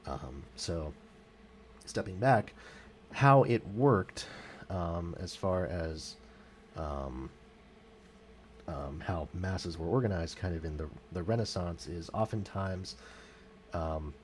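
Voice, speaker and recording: A man's voice: low voice, takes it slowly, a little hiss or hum. A man talking casually about music history for his channel, with frequent ums and self-corrections. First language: English. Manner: hesitant, many fillers and self-corrections. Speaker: music vlogger. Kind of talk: casual spoken music commentary.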